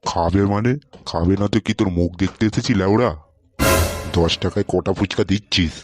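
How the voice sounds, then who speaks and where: A grumpy older cartoon man played by a male actor, very low and quick-talking. He scolds and curses bluntly. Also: over music, close to an everyday voice. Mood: outraged. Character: bad-tempered, foul-mouthed older man, scolding bully. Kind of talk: comedy cartoon dialogue.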